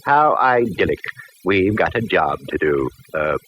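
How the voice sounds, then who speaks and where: Swooping, pompous tipsy dandy. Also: male voice, deep voice, very animated, unhurried, fairly bright tone, exaggerated voice. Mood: droll, skeptical. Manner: grandiloquent, pompous, theatrical. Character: pompous, theatrical, tipsy eccentric male photographer, florid dandy. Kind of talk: 1940s radio comic character acting. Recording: noisy old radio recording.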